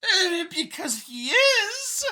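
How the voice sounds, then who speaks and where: Little mouse alien female, extremely high voice, animated pitch, chatty explainer, slightly hesitant, audiobook character. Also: drawn-out words, heavily acted. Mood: explaining, upbeat.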